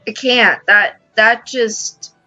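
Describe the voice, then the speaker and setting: A woman's voice: on the high side, drawn-out words, pushed and bright, dull audio, soft background music. A woman hosting a gaming channel, reading a visual novel aloud and adding emotional commentary. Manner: emotional, exclaiming reactions.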